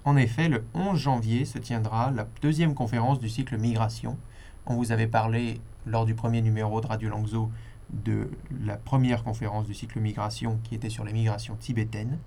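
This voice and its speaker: A man's voice: fairly low pitch, steady tone, moderate pace, vocal fry, warm tone, a little hiss or hum. A man presenting a radio programme, reading scripted announcements to listeners. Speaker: radio host.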